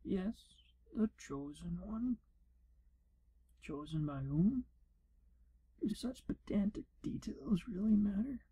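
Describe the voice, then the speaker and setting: A man playing a pompous, evasive wizard proctor in an ASMR fantasy role play, speaking in a hushed, breathy half-whisper. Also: high-pitched, expressive, takes it slowly, pauses often, full character voice, poor audio. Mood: pompous, impatient. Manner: pompous, wry, evasive, theatrical storytelling. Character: pompous, shifty male wizard proctor giving an exam.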